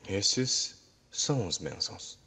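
A man's voice: on the low side, animated, slow pace, bright, forceful tone. A man reading a scripted horror story as a video narrator. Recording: long reverb on the voice.

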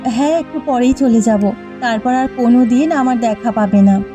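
Woman playing a mellow, reproachful village housewife. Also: a little high, normal speed, faint music behind, close to an everyday voice. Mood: resigned. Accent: Bengali. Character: reproachful, principled village housewife and sister-in-law. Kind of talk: Bengali cartoon voice acting.

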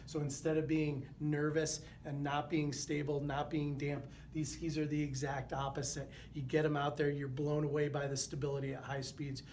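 A man in his thirties, a product manager, presenting gear to the camera in an indoor showroom, steady and informative with a few fillers. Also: a little high, slight background noise. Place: indoor showroom with wooden walls.

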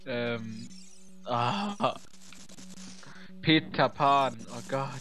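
Young male gaming guest, silly and casual, animated intonation, unhurried delivery. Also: medium pitch, rough recording. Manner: silly, joking. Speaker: guest co-commentator. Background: video game music.

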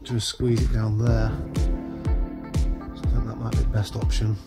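A man's voice: on the low side, monotone, moderate pace, over loud music. A man giving casual, hesitant vlog commentary, thinking aloud as he works inside a car. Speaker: vlogger showing a DIY vehicle project.

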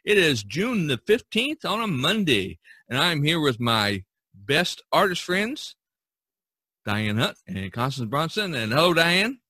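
A man hosting a podcast in a conversational style, pausing often mid-sentence. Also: on the high side, big pitch swings, pushed and bright, breathy edge, no room sound. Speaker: podcast host.

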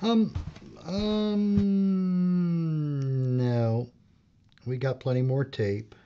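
An actor playing a practical, mildly wry filmmaker in an audio drama, casual and matter-of-fact. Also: male voice, mid-range voice, big pitch swings, extremely slow, dark tone, highs cut off. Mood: polite, matter-of-fact. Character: practical, level-headed male documentary filmmaker, mildly wry.

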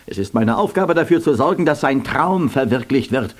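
Man acting formal reluctant administrator, older gentleman, precise, pompous, swooping intonation, husky, radio play. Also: mid-range voice, animated, normal speed, close to an everyday voice. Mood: stiff, dismissive.

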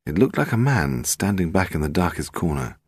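A man narrating a detective novel as an audiobook, in a measured, expressive storytelling manner. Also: low voice, breathy edge, no echo.

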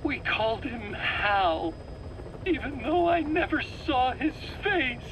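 A nervous captive scientist in a game drama, his voice very high and his delivery slow and halting. He stammers defensively and pleads with self-pity. A clear vehicle rumble sits behind the voice. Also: sing-song, exaggerated voice. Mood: anguished. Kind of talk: dramatic video game voice acting.

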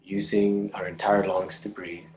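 A man leading a guided meditation, giving calm, unhurried instructions with long pauses between phrases. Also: deep voice, poor audio. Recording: clear steady background noise.

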